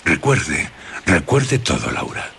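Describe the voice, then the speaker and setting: A very deep, creaky, ominous storyteller. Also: male voice, very animated, background noise, full character voice. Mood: insistent, grave. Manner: deliberate, suspenseful, with long pauses. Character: mysterious, ominous male storyteller coaxing a frightened woman. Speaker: narrator of a radio horror drama. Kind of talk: slow, dramatic radio storytelling.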